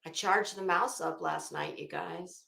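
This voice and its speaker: A woman's voice: on the low side, measured pace, vocal fry, pushed and bright. A middle-aged woman chatting casually as a livestream host, greeting viewers with teasing, salty banter. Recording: echoey room.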